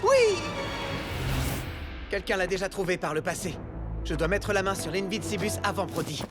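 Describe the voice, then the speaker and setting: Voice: medium pitch, sing-song, moderate pace, natural voice. An actor voicing an earnest, determined boy inventor in a children's cartoon, with insistent delivery. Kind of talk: animated children's cartoon dubbing. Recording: music behind the voice. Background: music.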